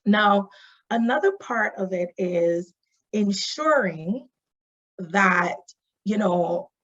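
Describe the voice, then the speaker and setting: A woman's voice: mid-range voice, husky, dull audio. A woman from Barbados with a Barbadian accent, giving a webinar talk as a social worker. She explains point by point and keeps checking in with "right?" and "you know". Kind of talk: webinar presentation. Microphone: video call.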